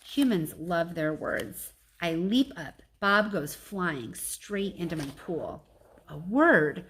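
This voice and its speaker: A woman with a slightly low voice narrates a children's storybook as a teacher would. She shifts between measured reading and casual explanatory asides to her listeners. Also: fairly bright tone, slight background noise, poor audio.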